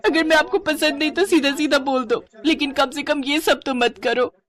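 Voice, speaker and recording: A woman's voice: fairly high pitch, lively intonation, moderate pace, voice pushed up, lightly acted. A woman voicing an arrogant, scheming young sister-in-law in a melodramatic, accusing manner for a story. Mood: angry, tearful accusing.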